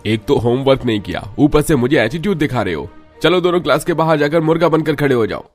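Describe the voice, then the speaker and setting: A strict schoolteacher played by a man in a cartoon. His higher male voice is lively and emphatic as he scolds, with music behind. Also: fast. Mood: stern. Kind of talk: Hindi cartoon story dialogue.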